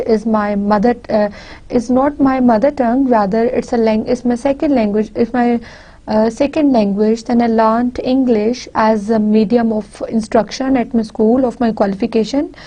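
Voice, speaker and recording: A woman from Pakistan with a Pakistani accent, a native Punjabi speaker, giving a university lecture deliberately, often repeating and restarting phrases. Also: fairly high pitch, dark tone, poor audio. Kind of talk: recorded lecture.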